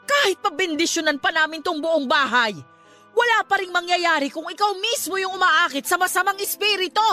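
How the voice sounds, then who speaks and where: A woman's voice: very high voice, expressive, fairly quick, pushed and bright, faint music behind, heavily acted. A woman playing a stern but caring middle-aged religious aunt in an emphatic Tagalog radio drama. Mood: angry, scolding. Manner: emphatic, scolding then consoling.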